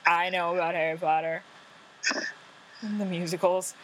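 A woman's voice: on the low side, flat delivery, normal speed, fairly bright tone, slight background noise, low-quality recording. A woman hosting a casual podcast, teasing her guests and laughing easily. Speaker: podcast host. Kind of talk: casual chat.

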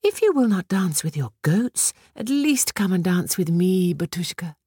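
A woman voicing a graceful, playful female forest fairy in a storybook, soft and coaxing, sometimes whispered. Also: on the low side, big pitch swings, measured pace, breathy edge, no echo, studio quality, voice pushed up, full character voice.

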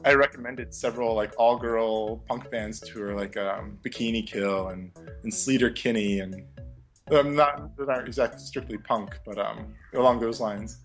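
A man's voice: lowish voice, steady tone, normal speed, soft background music. Reflective young man with frequent fillers. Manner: reflective, many fillers and restarts, laughs lightly. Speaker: interview guest, former music teacher. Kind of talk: casual conversational interview.

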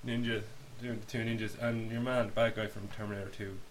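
Blunt man chatting over steady hiss. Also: on the low side, fast talker. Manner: blunt, sweary, disparaging. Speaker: podcast co-host. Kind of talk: casual banter about films and games. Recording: hissy podcast recording with background noise.

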